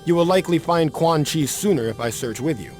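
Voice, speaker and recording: Higher-voiced, earnest warrior champion played by a man. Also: expressive, lightly acted. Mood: earnest, composed. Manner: measured, polite, formal. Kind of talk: video game cutscene acting. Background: music.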